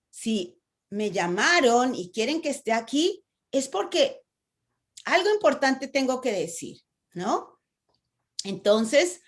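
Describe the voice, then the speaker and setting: A woman with a Latin American Spanish accent giving an engaging talk on stage fright, often asking rhetorical questions and tagging "¿no?". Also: fairly high pitch. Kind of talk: conversational talk with a guest.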